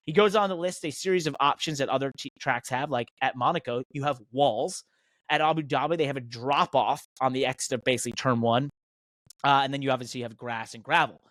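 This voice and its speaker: A man's voice: medium pitch, a little fast, a bit bright, no room sound. An American man hosting a motorsport podcast, talking in an emphatic, indignant and opinionated way. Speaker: podcast host and professional race car driver.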